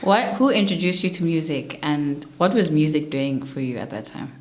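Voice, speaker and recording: A woman hosting a podcast interview, asking thoughtful, probing questions and listening with soft murmurs. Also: deep voice, animated, normal speed. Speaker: interviewer host.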